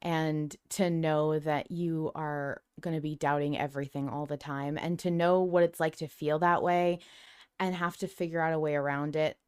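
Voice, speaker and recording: A woman with a fairly low, smooth voice chats as a podcast co-host. She is effusive and emphatic, swearing freely as she talks like a devoted fan. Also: steady tone, normal speed, a little mellow. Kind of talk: casual fan discussion of an audio drama.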